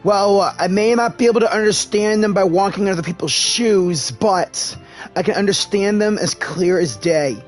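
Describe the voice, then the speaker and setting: A man streaming a game live and speaking replies to its characters in a halting, deliberate, earnest way. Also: sits high. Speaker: gaming streamer and amateur voice-over artist. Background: game music.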